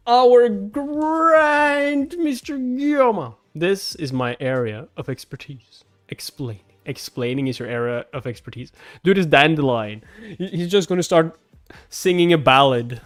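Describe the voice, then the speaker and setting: A man's voice: fairly high pitch, a little slow. A man reading a webtoon aloud and reacting casually, exclaiming and swearing with mocking disbelief. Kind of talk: casual live reaction and read-along.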